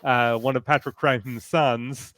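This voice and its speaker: A man's voice: medium pitch, steady tone, measured pace, fairly bright tone, dry recording. A man from Canada, a podcaster speaking as a guest in a casual, knowledgeable fan discussion.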